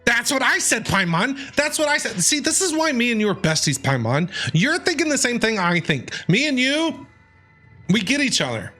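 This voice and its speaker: A woman's voice: on the high side, very animated, normal speed, a bit bright. A woman streaming a game with casual, playful, chatty reaction commentary, often repeating phrases. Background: game music.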